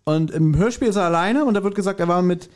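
A man's voice: high voice, fast, a little mellow. A man co-hosting a podcast, chatting casually and with opinions about an audio drama. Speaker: podcast host. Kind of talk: casual chat reviewing an audio drama, reading aloud.

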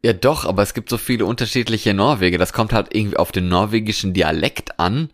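A man's voice: on the low side, fast, no echo, clean studio sound. A man chatting casually as a podcast co-host, musing in a colloquial way. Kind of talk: casual chat.

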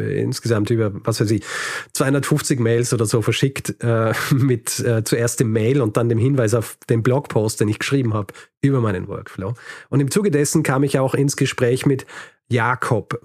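A man with a medium-pitched voice hosts a podcast, telling his story casually. He pauses to find words and drops in light chuckling asides. The sound is close and dry. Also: a little mellow, dry recording. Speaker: history podcast host telling the episode's story.

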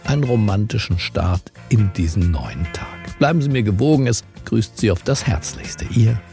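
A man hosting a morning briefing, narrating from a script in a formal, wry, measured way. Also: fairly low pitch, lively intonation, warm tone, soft background music. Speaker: podcast host.

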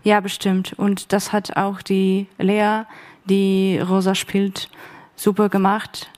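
A woman talks slowly and quite evenly about her radio piece being realized. Her tone stays level as she sounds pleased. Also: medium pitch, monotone, darkish tone. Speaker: workshop participant, author.

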